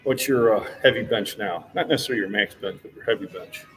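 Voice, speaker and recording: A man's voice: lowish voice, quick pace, a bit bright, soft background music, rough recording. A man in his sixties hosting a casual fitness livestream, chatting with viewers in a friendly, encouraging coach's way. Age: 60s.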